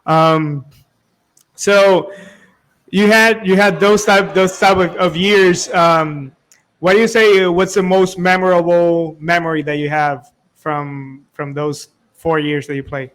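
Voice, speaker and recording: A man's voice: sits high, steady tone, slow pace, a bit bright, poor audio. Hesitant man hosting an interview. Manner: hesitant, searching for words, many fillers. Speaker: interview host. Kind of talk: casual interview questions.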